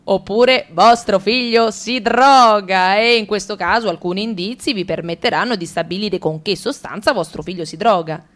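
A woman reading a literary text for radio theatre in a measured, ironic storyteller tone. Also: mid-range voice, a bit bright. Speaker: radio drama reader.

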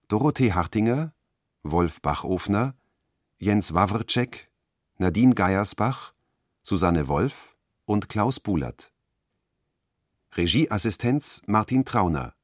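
Slow, low male radio announcer. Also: no room sound, clean studio sound. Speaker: announcer reading production credits. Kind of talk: scripted radio credits announcement.

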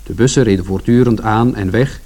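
A male actor plays an observant son narrating a radio play about his family. The voice is soft-edged and mellow, on the low side, with measured, descriptive storytelling. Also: lightly acted. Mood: reflective.

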